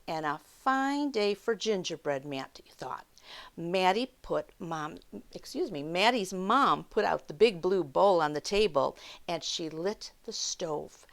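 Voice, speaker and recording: A woman reading a story aloud to children, expressive, with chatty asides and questions to her young listeners. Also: fairly low pitch. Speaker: children's story time reader.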